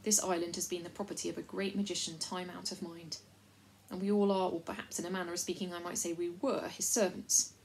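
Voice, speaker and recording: A woman's voice: fairly low pitch, little pitch movement, brisk, vocal fry, darkish tone, a little hiss or hum, poor audio. A woman voicing a self-important invisible male chief of servants in a storybook, folksy, repetitive and rambling. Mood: rambling, confiding. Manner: folksy, repetitive, long-winded.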